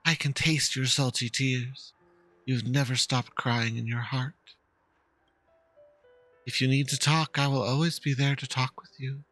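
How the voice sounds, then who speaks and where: A man voicing a gentle, sorrowful ghostly fox woman who speaks slowly and tenderly in a game dialogue reading. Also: medium pitch, steady tone, no room sound, faint music behind.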